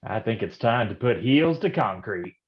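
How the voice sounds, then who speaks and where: An actor playing a hard-boiled male private detective in a noir staged reading, gruff and wisecracking in old-time gumshoe slang. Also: fairly low pitch, big pitch swings, moderate pace, dull audio. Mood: wry.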